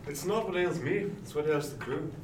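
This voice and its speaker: An actor playing a deferential merchant sailor in an eighteenth-century seafaring radio drama. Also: male voice, a little high, lively intonation, normal speed, distant mic, close to an everyday voice. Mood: concerned, polite. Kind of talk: serial radio drama acting, period seafaring. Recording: echoey, off-mic, noisy ship ambience behind.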